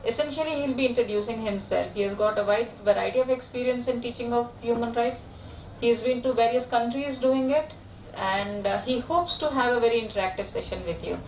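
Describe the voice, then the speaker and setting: A woman, a course organiser giving brisk, joking announcements before a lecture. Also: a little high, a little mellow, a little hiss or hum. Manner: brisk, joking, hesitant restarts. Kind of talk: classroom announcements. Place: lecture hall. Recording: echoey hall from far off.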